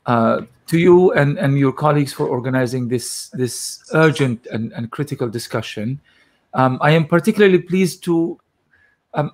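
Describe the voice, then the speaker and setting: Earnest man from Gaza, slightly high voice. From Gaza, Palestine. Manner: earnest and measured, with frequent fillers and throat clearing. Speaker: guest speaker on a panel. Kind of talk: speech in an online panel discussion.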